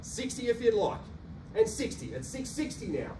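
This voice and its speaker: A man's voice: very high-pitched, sing-song. Australian auctioneer man calling bids. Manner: rhythmic rapid-fire bid calling, cajoling buyers. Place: carpeted function room indoors. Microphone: handheld mic, filmed by a far camera. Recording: roomy echo from a distant camera, faint hum.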